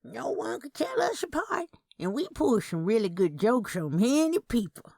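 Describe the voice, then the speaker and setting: A woman acts out a blunt old twin aunt from a family story. She is scornful and emphatic, with a raised, gravelly voice. The recording is close and dry. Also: a little high, very animated, normal speed, a little mellow, no echo, voice pushed up, heavily acted. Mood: amused, proud. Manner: emphatic, snorting disdain.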